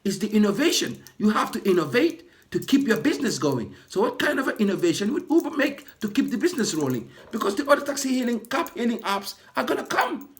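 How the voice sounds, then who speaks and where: A man who works as a ride-hailing driver, hoarse from losing his voice, delivering an indignant, repetitive rant to camera for his vlog. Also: high-pitched, very animated, fast, hardly any pauses. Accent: British. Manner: indignant, repetitive, building to impassioned outbursts.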